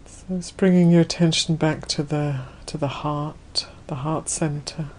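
A woman leading a guided meditation as a Buddhist nun, speaking gently in unhurried, spacious phrases. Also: low-pitched, steady tone.

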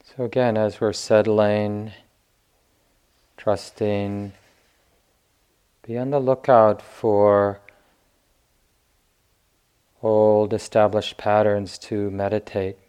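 Slow-spoken man guiding meditation, even tone. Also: on the low side, clean tone. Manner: unhurried, gentle, with long pauses between phrases. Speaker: meditation teacher. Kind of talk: guided meditation instruction.

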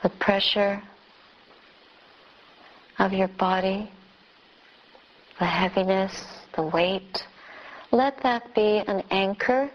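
A woman who is a Buddhist nun leading a guided meditation, speaking in short phrases with long pauses. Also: medium pitch, steady tone, dull audio.

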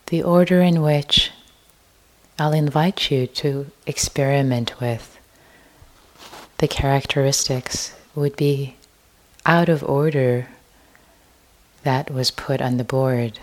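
Woman, guided meditation, very deep voice, unhurried soft delivery, whispery at times. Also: low-quality recording. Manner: slow, soft, gentle guidance with long pauses; drifts into an unvoiced whisper at times. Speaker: meditation teacher.

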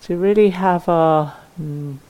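A woman leading a guided meditation as a teacher, speaking gently and leaving long pauses between phrases. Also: on the low side, rough recording.

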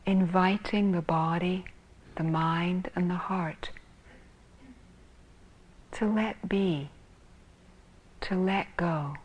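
Woman, meditation teacher, slightly low pitch, husky and breathy, soft, calm guided-meditation delivery, long pauses. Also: rough recording. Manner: calm, gentle, spacious phrasing with long pauses.

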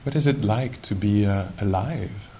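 Guy leading a meditation, gravelly voice, slow gentle questions w long pauses, bit of rumbly background noise. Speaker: meditation teacher. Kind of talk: guided meditation at a retreat. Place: live at a meditation retreat. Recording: noisy live recording with low rumble.

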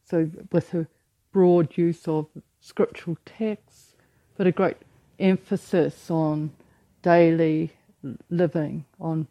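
A woman in her sixties giving a dharma talk as a retreat teacher, reflective and halting, often restarting her sentences. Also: sits low, steady tone, dark tone, no room sound, poor audio.

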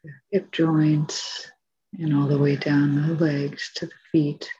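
A woman teaching meditation, giving calm, gentle spoken guidance with long pauses between phrases. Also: low voice, husky, highs cut off. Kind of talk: guided meditation, soft spoken instruction.

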